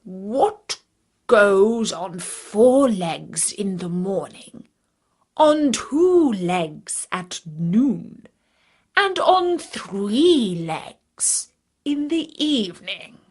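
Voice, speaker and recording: A woman voicing a scornful, boastful male dragon with a slow, gloating, drawn-out delivery in a fairytale. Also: medium pitch, very animated, husky, full character voice. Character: scornful, boastful male dragon who loves cunning riddles.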